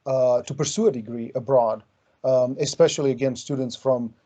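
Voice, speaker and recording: A man's voice: mid-range voice, steady tone, moderate pace, warm tone. A man from Romania hosting an interview over a video call, talking reflectively with many ums. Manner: reflective, with frequent ums and hedges. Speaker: interview host. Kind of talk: conversational interviewing.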